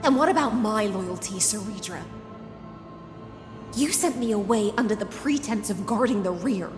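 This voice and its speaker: A woman's voice: mid-range voice, lively intonation, normal speed, close to an everyday voice. An actress playing a loyal female knight commander in a video game, grave and reproachful, speaking with restrained accusation. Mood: bitter, betrayed. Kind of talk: video game drama dialogue. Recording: echoey reverberant hall, music behind.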